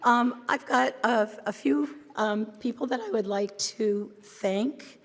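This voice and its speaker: A woman with a hoarse voice giving a chatty welcome address and announcements to a conference. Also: a little high, takes it slowly. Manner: friendly, chatty, many ums and restarts. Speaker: conference co-chair. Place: large conference hall. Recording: reverberant hall.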